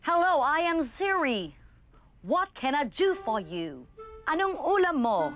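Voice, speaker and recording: A woman's voice: sits high, big pitch swings, slow pace, highs cut off, soft background music, voice pushed up, heavily acted. A woman voicing a polite female phone assistant with stilted, sing-song synthetic politeness. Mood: robotically polite.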